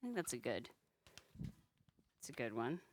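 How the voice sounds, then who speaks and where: A woman's voice: a bass voice, very animated, a little slow, background noise. A woman chatting casually on a live stream in a playful, tongue-in-cheek, self-mocking manner. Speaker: balloon artist live-streamer.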